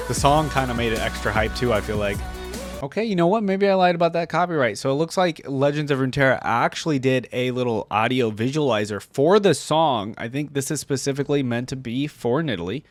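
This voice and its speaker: A man's voice: mid-range voice, lively intonation, fairly quick, no echo. A man giving casual gaming reaction commentary, rambling and easily impressed as he thinks aloud. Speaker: reaction video host. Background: faint music.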